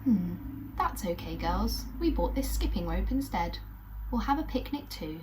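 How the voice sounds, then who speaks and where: A woman voicing a kindly, cheerful woodland animal parent in a children's puppet story, gentle and reassuring. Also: medium pitch, big pitch swings, a little slow, distant mic, slight background noise, rough recording.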